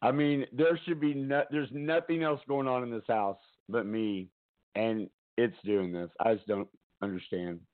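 A man hosting a casual talk stream from home, loud-voiced, rambling and chatty, often laughing, talking into a headset. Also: mid-range voice, fairly even intonation, unhurried, phone-quality sound. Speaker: talk show host.

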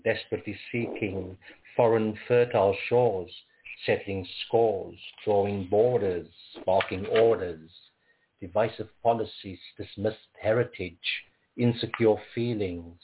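A man from Africa, reading his poems aloud in a measured, rhythmic way. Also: fairly low pitch. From South Africa. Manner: measured, rhythmic recitation with pauses. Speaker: poet. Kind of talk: poetry reading. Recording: tinny phone-like audio.

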